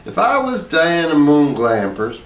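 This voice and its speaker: A man voicing a simple, sweet, chatty middle-aged wife with folksy, drawn-out phrasing in a storybook reading. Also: fairly high pitch, very animated, pitched above the performer's own voice. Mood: eager. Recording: roomy, distant mic with faint noise.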